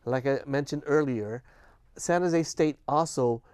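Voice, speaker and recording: An older man whose first language is Cantonese talks in an earnest, unhurried way, with a few false starts as he answers. His voice sits at a middle pitch. The sound is dry and close. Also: a little mellow, clean tone, dry recording. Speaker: festival volunteer guest. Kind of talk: casual interview answers.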